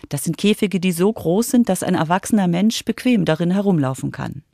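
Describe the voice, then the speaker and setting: A woman's voice: on the low side, expressive, fairly quick, creaky, warm tone, no echo, studio quality. A woman reporter reading scripted narration for a children's radio feature.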